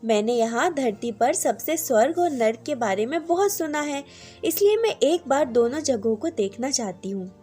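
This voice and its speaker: High, questioning old woman, as a female storyteller plays her. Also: lively intonation, normal speed, dark tone, faint music behind. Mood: grateful, pleading. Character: kindly, curious elderly woman, newly dead.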